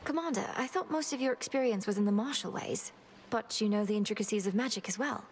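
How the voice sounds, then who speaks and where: A woman's voice: fairly high pitch, fast, a little hiss or hum, natural voice. A woman voicing a determined, earnest young witch princess in a video game, composed and formal.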